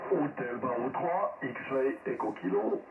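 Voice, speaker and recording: Male radio amateur, very slow delivery, thin signal with heavy static. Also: medium pitch, lively intonation. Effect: amateur radio transmission. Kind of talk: formal ham radio signal report. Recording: narrow-band radio, roomy, distant, loud static. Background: loud radio noise.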